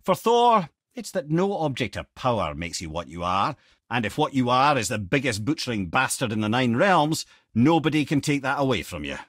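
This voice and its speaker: An actor playing a wry old sage in a video game, an eloquent, theatrical storyteller with a Scottish accent. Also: male voice, medium pitch, big pitch swings, moderate pace, dry recording, clean studio sound. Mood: wry, knowing.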